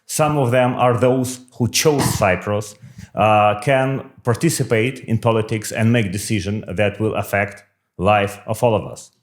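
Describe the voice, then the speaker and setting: A middle-aged man from Russia, a political candidate explaining his views in a measured way in a podcast interview, pausing often on fillers. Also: lowish voice, fairly even intonation.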